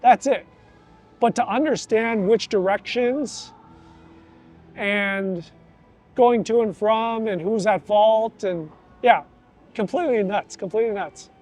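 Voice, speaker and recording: A middle-aged man vlogs outdoors near a festival. His voice sits fairly high for a man, and he talks in a chatty, animated way. He often slips into quick quoted voices. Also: faint music behind. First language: English. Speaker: vlogger, student of Thai. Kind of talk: casual vlog talk to camera.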